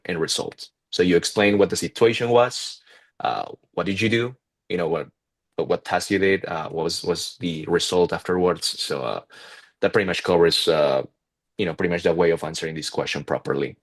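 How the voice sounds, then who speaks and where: A man in his twenties with a heavy Peruvian accent, talking casually as a guest, halting with many fillers and restarts. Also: low-pitched. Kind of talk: casual interview talk.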